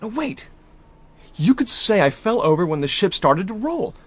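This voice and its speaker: A brash young diplomat. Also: male voice, high voice, moderate pace. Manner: brash, slangy, excitable. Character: young American man, brash diplomat nephew. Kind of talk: radio drama acting.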